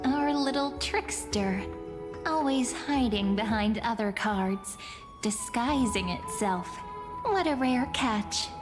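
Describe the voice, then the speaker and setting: A woman voicing a calm, mysterious fortune teller in scripted game dialogue, recorded with heavy reverb. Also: a little high, slow pace. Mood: mysterious, measured. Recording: long hall reverb over music.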